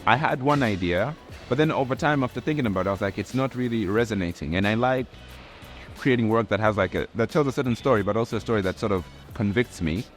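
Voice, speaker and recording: A young man from Tanzania, a graphic artist, talking in a relaxed, reflective way with many restarts and fillers. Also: on the low side, quick pace. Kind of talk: casual interview talk. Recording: faint music underneath.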